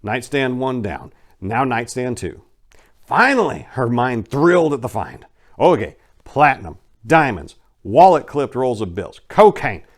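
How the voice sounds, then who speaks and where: A man's voice: mid-range voice, big pitch swings, a little slow. A man narrating a crime short story as a dramatic reading, with theatrical, emphatic delivery.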